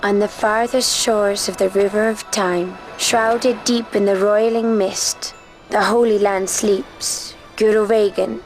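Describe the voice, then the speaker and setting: A slow, solemn mysterious woman. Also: mid-range voice, steady tone, rough recording. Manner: slow, solemn, recited phrasing. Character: calm, mysterious woman of an ancient race. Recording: game cutscene with soft music.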